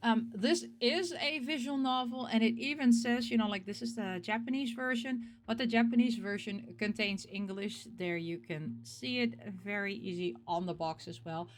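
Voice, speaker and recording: A woman hosting a casual video about games, talking in an expressive, rambling way and repeating words for emphasis. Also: on the high side, moderate pace, few pauses. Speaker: host of a game collecting show. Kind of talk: casual chat, showing game pick-ups.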